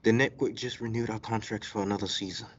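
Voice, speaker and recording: A man's voice: lowish voice, fairly even intonation, fast, lightly acted. A man playing an agitated, accusing radio actor who rallies others, urgent and emphatic, in a radio play. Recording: dull lo-fi recording with noise.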